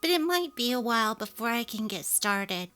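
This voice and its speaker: Bright, earnest young mother acted by a woman. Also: on the high side, animated, normal speed. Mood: content. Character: earnest young wife and mother, fed up but resolute. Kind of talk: dramatic reading of a text-message story. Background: music.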